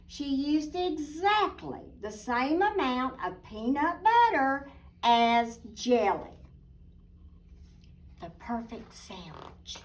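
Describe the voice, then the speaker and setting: A woman reading a picture book aloud expressively, deliberate and emphatic, with little asides to young listeners. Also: high voice. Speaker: children's storybook reader, teacher. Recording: distant microphone.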